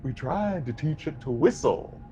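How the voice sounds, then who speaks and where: A man playing a ghoulish, gleefully morbid radio horror host with a sardonic, drawn-out delivery. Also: fairly high pitch, animated, dark tone, dull audio, faint music behind, lightly acted. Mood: darkly amused. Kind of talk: 1940s radio horror hosting.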